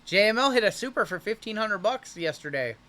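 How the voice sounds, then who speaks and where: A man hosting a livestream card break, calling out pulls with hype and jokes, his voice wearing hoarse. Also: sits high, lively intonation, fairly quick, sharp tone. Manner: hyped, joking, calls out cards as they are pulled. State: voice going hoarse from shouting.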